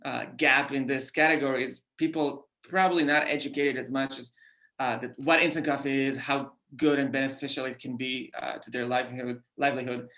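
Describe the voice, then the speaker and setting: A man from Israel with an Israeli accent, a company founder answering podcast questions in halting speech with many fillers and restarts. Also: medium pitch, steady tone, bright, forceful tone, rough recording. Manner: halting, frequent "uh" fillers and repeated words. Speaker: guest founder. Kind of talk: podcast interview.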